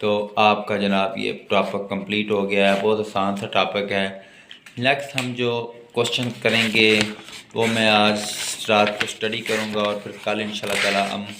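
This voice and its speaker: A man's voice: lowish voice, flat delivery, normal speed, background noise. A man with a Pakistani accent giving an informal law lecture, reading notes aloud and then explaining them. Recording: echoey, roomy voice recording.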